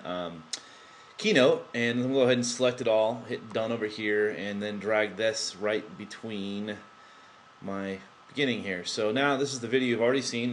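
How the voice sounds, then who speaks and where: Man, lowish voice, casual screen-recording tutor, built-in laptop mic with faint hiss, lots of ums. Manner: rambling, many fillers and restarts. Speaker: tutorial presenter. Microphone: built-in laptop microphone.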